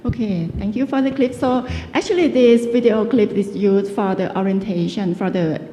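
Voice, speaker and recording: A woman's voice: mid-range voice, moderate pace, warm tone, soft background music. Woman lecturing in a reverberant hall. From Thailand. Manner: conversational, chatty, searching for words. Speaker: guest lecturer. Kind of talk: casual lecturing.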